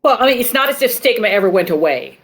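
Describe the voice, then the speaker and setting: A woman's voice: on the high side, sing-song, fast talker, a bit bright. A woman panelist giving conversational commentary in a discussion.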